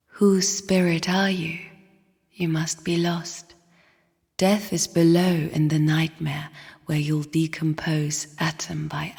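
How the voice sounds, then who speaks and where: Casting: scornful female game spirit, low husky whisper, slow cryptic delivery, long cavernous reverb. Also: darkish tone, full character voice. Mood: ominous. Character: bleak, bitter female spirit sister of a dying void. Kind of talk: video game character monologue. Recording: long reverberant hall echo.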